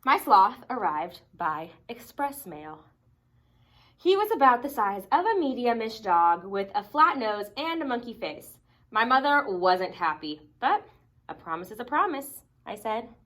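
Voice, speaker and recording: A woman reading a children's picture book aloud expressively, with dramatic emphasis and deliberate pauses. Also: fairly high pitch, very animated, a bit bright. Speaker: storybook reader.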